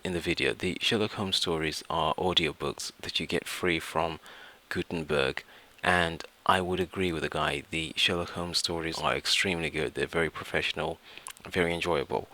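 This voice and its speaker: A man presenting an informal software tutorial, explaining things step by step with measured asides. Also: low-pitched.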